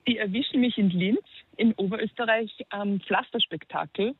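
A woman's voice: medium pitch, normal speed, breathy edge, no echo. Young Austrian woman on a tinny phone line. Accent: Upper Austrian. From Upper Austria. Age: young adult. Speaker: musician guest. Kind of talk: phone interview.

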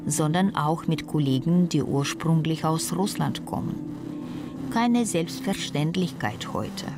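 A woman reading scripted documentary narration in a measured, even way. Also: deep voice, loud music behind the voice.

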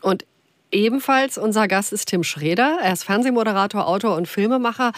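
A woman's voice: medium pitch, no echo. A woman in her late fifties hosting a live discussion show on a studio microphone. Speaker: radio talk show host. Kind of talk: live phone-in discussion moderation. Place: radio studio. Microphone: studio broadcast microphone.

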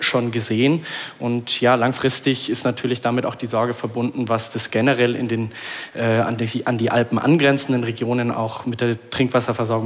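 A man, a climate journalist, explaining on a panel in an informed way with occasional fillers. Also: medium pitch.

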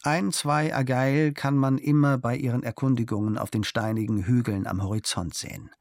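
A man calmly reading a travel diary aloud in a measured storytelling manner, recorded close to a studio mic. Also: medium pitch, dry recording. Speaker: narrator.

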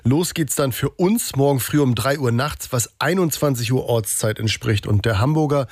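A man's voice: mid-range voice, normal speed, a little mellow, no echo, studio quality. A man reporting sports on the radio, mixing news reading with informal, self-deprecating banter.

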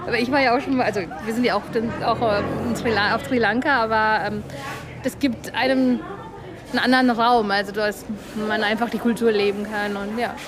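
Higher-voiced woman, halting, amid crowd noise. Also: steady tone. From Germany. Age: 30s. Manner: halting, often rephrases mid-sentence. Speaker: interviewee, temple visitor. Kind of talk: casual spontaneous interview talk. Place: busy festival site, recorded on location.

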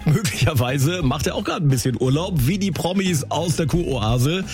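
A man's voice: on the high side, expressive, normal speed, a little mellow. A male radio host introducing a segment in a breezy, chatty way. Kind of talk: radio show announcement. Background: music.

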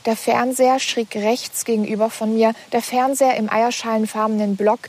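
A woman in her twenties from Berlin, an author speaking in a measured way. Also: fairly high pitch, fairly even intonation, darkish tone. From Berlin, Germany. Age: 20s.

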